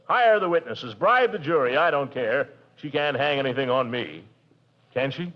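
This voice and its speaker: An actor playing a blustering, pompous middle-aged bachelor uncle in a 1940s radio comedy, with a booming laugh. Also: male voice, animated, normal speed, natural voice. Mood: indignant. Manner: blustering, booming laugh, swings from gloomy to jubilant. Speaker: lead actor in a radio sitcom. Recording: dull old radio broadcast.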